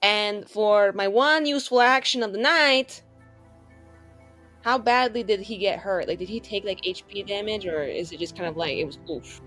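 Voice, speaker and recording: Woman, tabletop player, medium pitch, blunt, sardonic, profane, casual chat, soft background music. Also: normal speed.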